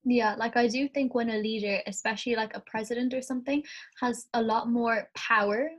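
A young Irish woman, a student, talking through ideas in a casual class discussion, her voice a little croaky from the morning. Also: fairly high pitch, steady tone, brisk. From Ireland. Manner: chatty, thinks aloud, frequent "like".

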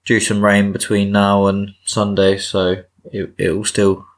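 A man's voice: on the low side, completely flat delivery, unhurried. A man talking as a guest in a casual chat, easygoing.

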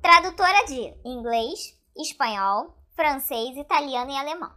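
A woman's voice: sits high, animated, moderate pace, pushed and bright. A woman voicing her ten-year-old self, an eager little girl proudly listing her dreams.

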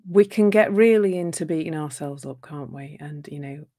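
A woman talks gently and thoughtfully in a live session, her low-pitched voice slightly croaky from a sore throat. She often restarts her phrases as she reflects out loud. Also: normal speed. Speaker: coach. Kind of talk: live talk on relationships.

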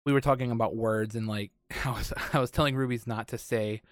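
A man hosts a casual podcast in an informal, wordy way. His voice sits at an average male pitch. The recording is dry, with very little room around it. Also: steady tone, fairly quick, dry recording. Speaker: podcast host.

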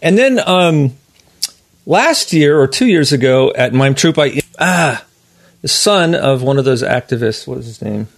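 A man interviewing someone in a casual, chatty way, somewhat halting as he searches for names and details. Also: mid-range voice, animated, no room sound, studio quality.

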